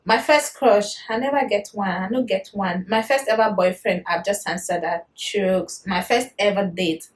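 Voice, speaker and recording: A woman in her thirties with a Nigerian accent, chatting to camera in a casual vlog, animated and quick to laugh. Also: mid-range voice. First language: Yoruba. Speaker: vlogger.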